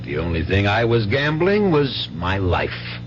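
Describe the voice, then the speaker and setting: A man's voice: fairly low pitch, wildly swooping pitch, unhurried. An actor playing a teasing, easygoing father in a 1970s radio drama, talking in a playful, folksy way. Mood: teasing, cheerful. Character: easygoing, teasing father, a gambler home late. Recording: muffled 1970s radio recording, music behind.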